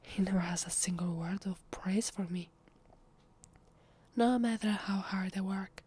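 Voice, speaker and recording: An awkward, shy female vampire passing as human , played by a woman in an ASMR roleplay. She has an Italian accent and a soft, breathy half-whisper. Her delivery is slow and hesitant, with a flustered stammer. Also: mid-range voice. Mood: mildly resentful. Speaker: voice actress.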